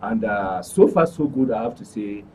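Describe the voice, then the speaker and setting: Low, mellow Nigerian man, formal guest. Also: expressive, normal speed. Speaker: guild administrator, studio guest. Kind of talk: formal interview answers. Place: radio and TV studio. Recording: slightly distant mic, faint noise.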